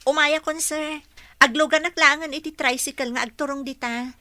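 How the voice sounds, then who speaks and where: A woman voices a young housekeeper who answers politely and respectfully in a radio drama. Her voice is bright and a little high. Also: fairly even intonation, normal speed, no room sound. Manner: polite, deferential.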